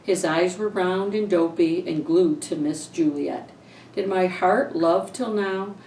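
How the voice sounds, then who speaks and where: A woman's voice: lowish voice, slow, a little mellow. A woman on a school staff reading a children's storybook aloud. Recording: echoey room, distant mic, faint noise.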